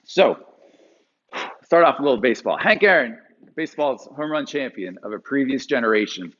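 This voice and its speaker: A man in his forties from Pennsylvania, telling a personal story to a live audience with animated delivery and frequent fillers. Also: mid-range voice, moderate pace, highs cut off. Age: 40s.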